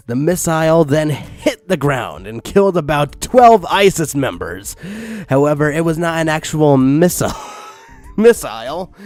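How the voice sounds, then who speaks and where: Animated man reading aloud, wildly varied pitch. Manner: incredulous, often breaking from the script. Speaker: fan fiction reader. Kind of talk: comic read-aloud narration with asides.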